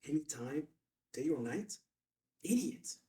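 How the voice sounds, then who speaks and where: Pushy, excitable younger male played by an actor, higher register, very animated, slightly off-mic. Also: slow pace. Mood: eager, sheepish. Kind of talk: screenplay table read acting. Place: indoor filmed table read. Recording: slightly distant room microphone.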